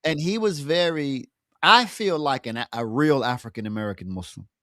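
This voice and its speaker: A man hosting an interview, speaking haltingly and repeating words as he works toward his point. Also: medium pitch, fairly bright tone, dry recording, clean studio sound. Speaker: podcast host. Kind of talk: interview talk.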